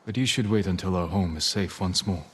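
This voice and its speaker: A man playing an honourable samurai lord in a video game, restrained and earnest, speaking in a measured, formal way. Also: low voice, fairly bright tone, husky. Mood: polite, calm. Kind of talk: cinematic video game acting.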